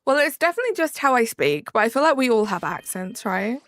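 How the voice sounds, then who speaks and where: A woman's voice: a little high, very animated, fast talker, a bit bright, no echo. Chatty southern English woman streamer. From Southern England. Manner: chatty, answers chat with fillers like "um" and "like". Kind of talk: casual live-stream chat reading comments.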